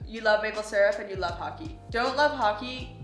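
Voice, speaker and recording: A woman's voice: medium pitch, fairly quick, music in the background. Chatty young woman in an echoey room. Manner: soft, chatty, says "like" a lot. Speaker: travel vlogger. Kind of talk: casual chat.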